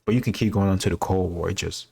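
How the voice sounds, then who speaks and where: Deep-voiced man talking casually, fairly even. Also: fast talker, vocal fry, breathy edge. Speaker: host answering history quiz questions. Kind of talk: casual reaction commentary, thinking aloud.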